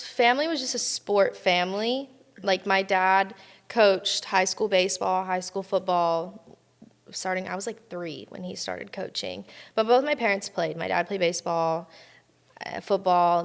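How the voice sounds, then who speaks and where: Young woman, from California, gravelly fry, chatty and animated, casual interview guest. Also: mid-range voice. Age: young adult. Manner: chatty, animated storytelling with many fillers. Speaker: college softball player, guest.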